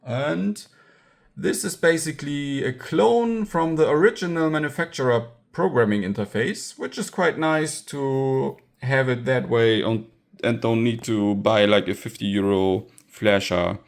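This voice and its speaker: Slow-talking man with a creaky voice. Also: medium pitch. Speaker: electronics hacker presenting own project. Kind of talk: casual hands-on tech tutorial.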